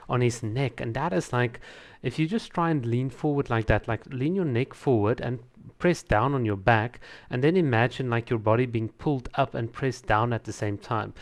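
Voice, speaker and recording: A man reviewing a video in casual commentary, repeating words for emphasis. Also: medium pitch.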